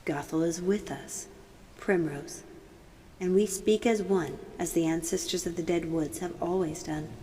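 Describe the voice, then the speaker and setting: Storybook ancestral spirit, female reader: low, mellow, serene and solemn, drenched in long echo. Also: a little hiss or hum. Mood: calm, solemn. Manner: calm, solemn, measured. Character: otherworldly female ancestral spirits speaking as one, calm, serene. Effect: long echoing reverb. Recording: voice drenched in long hall-like reverb.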